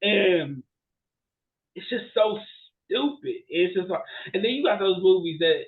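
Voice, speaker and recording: High-pitched man chatting casually. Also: animated, a little slow, narrow, tinny audio. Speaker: podcast co-host. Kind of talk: casual chat about bad movies.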